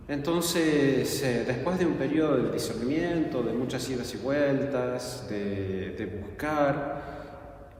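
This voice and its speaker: A man's voice: medium pitch, darkish tone. Man, friar's testimony, hesitant with fillers, distant mic, roomy hall reverb. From Argentina. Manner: thoughtful, hesitant, many filler pauses and self-corrections. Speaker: Franciscan friar and missionary. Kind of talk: personal testimony answering a question. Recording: echoey hall, distant mic, faint noise.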